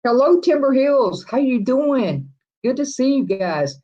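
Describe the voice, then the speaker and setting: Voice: high voice, sing-song, unhurried, warm tone. A livestream host rambles through viewer comments in casual chat. The host chuckles often and restarts sentences a lot. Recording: dull lo-fi livestream audio.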